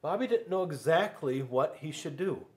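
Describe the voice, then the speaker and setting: A middle-aged man reading a children's story aloud in a classroom, expressively, with dramatic pauses and questions to young listeners. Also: a little high, lively intonation, a little mellow. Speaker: school resource officer reading to children. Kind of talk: animated storybook read-aloud. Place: small school classroom, indoors.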